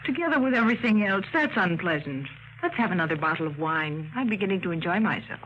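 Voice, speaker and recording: A woman's voice: fairly low pitch, animated, quick pace, lightly acted. An actress playing a coy, wistful young woman, a romantic companion, in a 1950s radio drama. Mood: uneasy. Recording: noisy, muffled 1950s radio recording.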